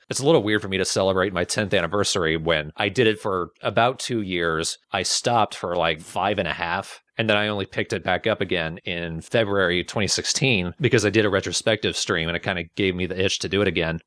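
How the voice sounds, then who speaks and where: A man's voice: sits low, a bit bright, dry recording, studio quality. A man talking casually and informally about himself as a video creator, in a reflective, slightly self-deprecating way. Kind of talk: casual personal reflective talk.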